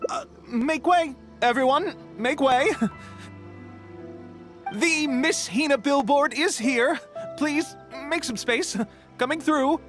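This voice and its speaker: Brief: game worker clearing a path, high voice, drawn-out calls, faint music. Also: female voice, fairly bright tone. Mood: calling out. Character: busy worker clearing a path through a crowd. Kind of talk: English video game voice acting. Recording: music under the voice.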